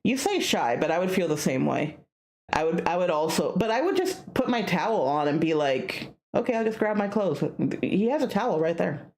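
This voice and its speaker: A woman streamer with a playful, animated manner, reading a visual novel aloud with casual asides. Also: fairly low pitch, moderate pace.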